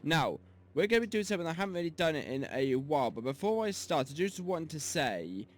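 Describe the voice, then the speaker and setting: A young man with a sore voice from a cold, reviewing a game mod in loose, rambling talk, a little softer than usual. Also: a little high, big pitch swings, fairly quick. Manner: rambling, many ums and restarts. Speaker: gaming YouTuber. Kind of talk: casual mod review commentary.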